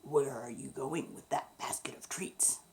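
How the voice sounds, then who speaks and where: Woman putting on a low, sly storybook wolf. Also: in a whisper. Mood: sly, coaxing. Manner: coaxing, drawn-out storybook villain. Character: sly male big bad wolf, coaxing.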